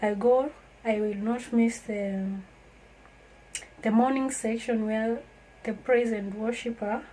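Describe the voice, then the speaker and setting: A woman's voice: a little high, fairly even intonation, slow, pauses often, mellow, a little hiss or hum, rough recording. A woman from Kenya, talking earnestly and reflectively to her viewers in a casual vlog. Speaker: vlogger. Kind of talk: casual talk to camera.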